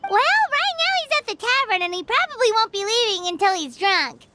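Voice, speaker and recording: Tiny squeaky fairy companion. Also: female voice, sing-song, a little fast, heavy background noise. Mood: cheerful. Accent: American. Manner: perky, cartoonish, refers to herself by name. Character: tiny chirpy floating fairy girl companion, excitable and nosy. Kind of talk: English anime game dub. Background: game music.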